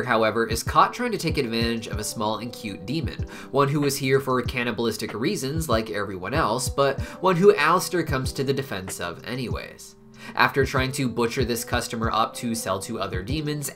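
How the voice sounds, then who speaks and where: A man's voice: mid-range voice, fairly bright tone. A man hosting an explainer video, narrating from a script in an explanatory way with conversational asides. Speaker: host of an animation explainer channel. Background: background music.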